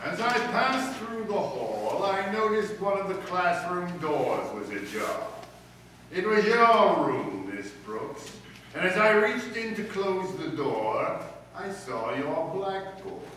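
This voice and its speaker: A man playing a stern, pompous, middle-aged high school principal with formal diction in old-time radio comedy style. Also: big pitch swings, slow, bright, forceful tone, full character voice. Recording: echoey room from far off, faint noise.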